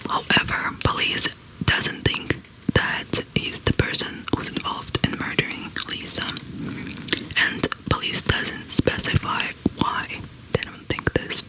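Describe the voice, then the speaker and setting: A woman in her thirties tells a true crime story entirely in a soft, breathy whisper, unhurried and deliberate. She crochets as she talks, sitting close to a large studio microphone in a small room at home. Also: rough recording. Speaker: ASMR host. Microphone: large studio condenser mic close to her face.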